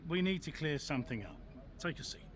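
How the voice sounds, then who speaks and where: An actor playing a firm, no-nonsense racing team boss in a video game, terse and commanding. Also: male voice, a little high, lively intonation, a little fast, slight background noise, lightly acted. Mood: stern. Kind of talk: cinematic video game dialogue.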